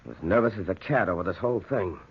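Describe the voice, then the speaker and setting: A man playing an uneasy young man on a ghostly night watch, hushed and tense, in old-time radio horror style. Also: sits low, lively intonation, racing, husky, full character voice. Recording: dull, hissy old radio recording.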